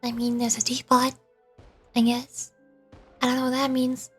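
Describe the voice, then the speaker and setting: A woman's voice: high-pitched, completely flat delivery, moderate pace, husky, soft background music. A woman streaming a video game, giving casual commentary and thinking aloud in short bursts.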